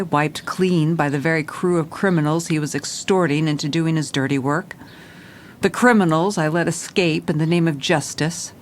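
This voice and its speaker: A woman's voice: low-pitched, slight background noise. An actress playing a determined, world-weary female police commissioner, narrating a noir audio drama in a hard-boiled, dramatic manner.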